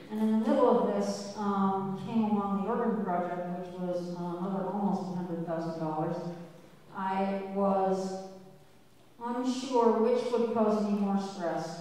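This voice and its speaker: A woman in her sixties, a church organist, speaking into a handheld mic to an audience in a large church, chatty and wry with many pauses. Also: mid-range voice, mellow, slight background noise. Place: large church sanctuary with balcony. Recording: echoey church hall, distant camera.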